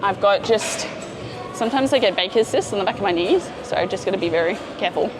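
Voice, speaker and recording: A woman's voice: medium pitch, expressive, fast, noisy background. A woman fitness coach explaining and cueing a workout as she hosts a gym vlog. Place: indoor gym.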